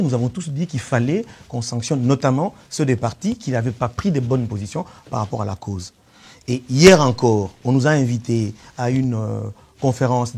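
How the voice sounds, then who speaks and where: A man's voice: medium pitch, a little mellow, breathy edge. A man of Congolese origin, a political candidate, arguing his case in a debate, insistent and emphatic, often repeating words. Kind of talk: debate on a web TV show.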